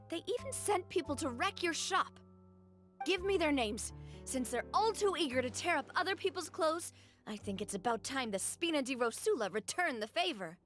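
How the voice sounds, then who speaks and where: High, spirited young lady boss. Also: big pitch swings, normal speed, fairly bright tone. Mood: indignant. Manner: emphatic, theatrical. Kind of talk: anime-style video game acting. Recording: clear music behind the voice. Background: music.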